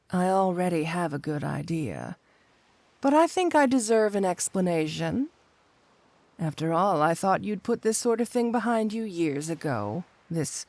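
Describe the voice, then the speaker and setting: A woman's voice: mid-range voice, darkish tone, no echo. A woman playing a flirty, possessive supervillain cat burglar wife in an intimate ASMR roleplay, coyly drawing out her endearments. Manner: coy, drawn-out, purring endearments with pointed hums.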